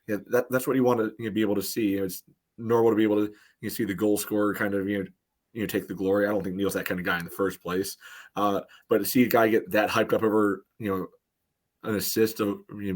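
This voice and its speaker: A man's voice: fairly low pitch, little pitch movement, quick pace. A man giving casual match analysis as a podcast panelist, explaining plays step by step and peppering them with "you know".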